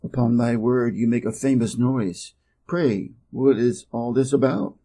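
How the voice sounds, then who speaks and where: A man's voice: mid-range voice, measured pace, mellow, slightly rough. A man with an American accent voicing a friendly, encouraging old donkey in a storybook, hearty and courteous.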